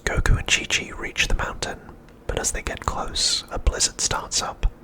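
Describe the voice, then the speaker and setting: A man narrating an ASMR recap in a soft, breathy, unvoiced whisper, hushed and unhurried. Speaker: ASMR host.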